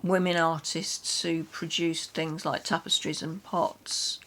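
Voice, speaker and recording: A woman's voice: low-pitched, little pitch movement, slow, fairly bright tone. A British woman chatting casually about literature as a host, with a wry, opinionated way of talking. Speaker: host of a poetry reading and book chat.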